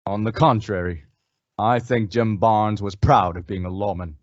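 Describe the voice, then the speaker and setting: An actor playing a calm masked cowboy hero, speaking in a measured, reassuring way in an old-time western radio drama. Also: male voice, fairly low pitch, no echo, muffled recording, lightly acted. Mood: firm.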